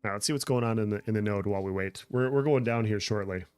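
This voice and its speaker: A man's voice: lowish voice, quick pace, a little mellow, clean tone, dry recording, faint music behind. Chatty man streaming a game. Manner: chatty, reads and answers viewer comments. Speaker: livestreamer. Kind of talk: casual gameplay stream chat.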